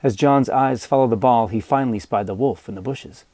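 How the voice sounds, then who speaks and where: A man narrating scripted fiction as an audiobook reader, with measured, dramatic storytelling. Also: mid-range voice, warm tone, no room sound.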